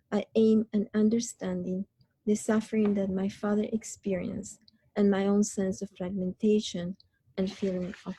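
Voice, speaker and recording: A woman from Chile, an artist, reading her installation statement in a measured, reflective way. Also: mid-range voice, vocal fry, warm tone, breathy edge, no room sound, poor audio.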